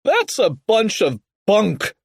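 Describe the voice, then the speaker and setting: A narrator, a man, plays a grumpy school principal in a children's audiobook. He yells in a raised, blustering voice, impatient with everyone around him. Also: high-pitched, huge pitch swings, slow, no room sound, clean studio sound, pitched above the performer's own voice, heavily acted. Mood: dismissive. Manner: yelling, gruff.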